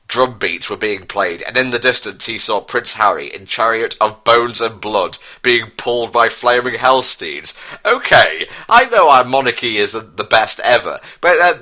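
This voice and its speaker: A man's voice: medium pitch, animated, unhurried, bright, forceful tone. A man giving a mock-dramatic reading of a story as narrator, with amused asides. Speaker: dramatic reader and commentator.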